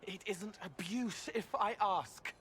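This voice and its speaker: An actor playing a fearful young male spirit who speaks in halting, broken phrases. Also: sits high, bright, forceful tone, slight background noise, full character voice. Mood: anxious. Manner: fragmented, breathless phrasing.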